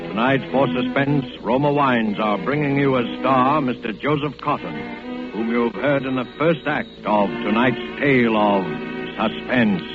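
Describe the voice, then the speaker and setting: A man's voice: mid-range voice, a little slow, dull audio, loud music behind the voice. A man announcing a radio drama in formal 1940s style. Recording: thin vintage radio broadcast.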